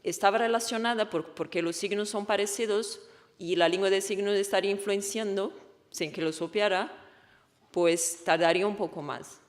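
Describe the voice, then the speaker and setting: A woman's voice: mid-range voice, fairly even intonation, moderate pace. Portuguese-accented woman presenting in a reverberant hall. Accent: Portuguese-speaking. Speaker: researcher presenting a study. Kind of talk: conference presentation. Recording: echoey hall with long reverb.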